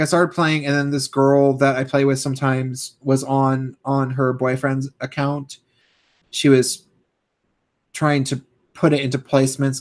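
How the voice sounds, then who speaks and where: Hoarse young man ranting on a stream. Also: mid-range voice. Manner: rambling, full of fillers and restarts. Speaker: YouTuber live-streaming. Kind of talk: casual live-stream rant.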